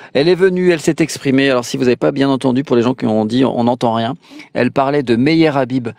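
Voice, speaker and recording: A man from France hosts a casual live call-in show, pausing often on fillers and slipping in sarcastic asides. His voice sits at a middling pitch. The sound is dry and close. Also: darkish tone, no room sound. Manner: hesitant, many "euh" fillers, sarcastic asides.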